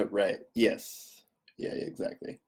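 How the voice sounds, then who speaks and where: A man's voice: lowish voice, big pitch swings, slow pace, a little mellow, husky. A man chatting casually on a group voice call, chiming in agreeably. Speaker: participant in a community livestream. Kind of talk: casual chat. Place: remote voice call.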